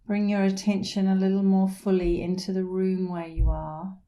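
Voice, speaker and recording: A middle-aged woman leading a guided meditation in a soothing, unhurried manner with gentle pauses. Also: medium pitch, little pitch movement. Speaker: meditation instructor.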